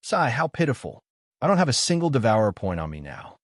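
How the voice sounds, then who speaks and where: A man's voice: medium pitch, sing-song, a little fast, dry recording, studio quality. A man voicing a brash, cocky young male fantasy hero in an audiobook, exclaiming and scoffing as he talks. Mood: cocky, grumbling. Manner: exclamatory, scoffing, self-interested.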